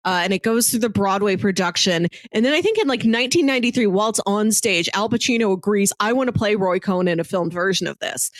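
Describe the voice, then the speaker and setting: A woman's voice: medium pitch, fast, hardly any pauses, dry recording. A woman co-hosting a podcast, casually discussing film and theater and acting out quotes as she retells scenes. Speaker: podcast co-host.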